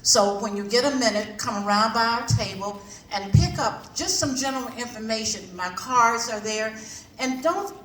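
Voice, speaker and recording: Woman addressing a group, kinda high voice, folksy and emphatic, far from the mic in a roomy space. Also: normal speed, a bit bright. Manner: emphatic, colloquial, repeats phrases for effect. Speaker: community organisation representative. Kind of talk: informal public address to a group. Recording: echoey room, distant mic, background noise.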